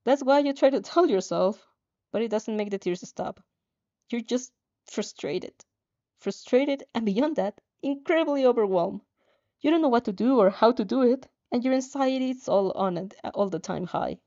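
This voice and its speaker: A woman with a mellow, relaxed voice reads fan fiction aloud in a casual way. She is giggly, trips over words and drops in her own reactions. The recording sounds a little dull and lo-fi. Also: on the high side, normal speed. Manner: giggly, stumbles over words while reading.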